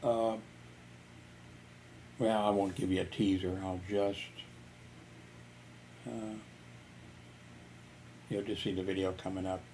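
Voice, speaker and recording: A man's voice: deep voice, flat delivery, takes it slowly, long pauses, warm tone, a little hiss or hum. A man telling personal stories in a rambling vlog, filling gaps with "you know" and chuckling. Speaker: YouTuber. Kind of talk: casual storytelling vlog.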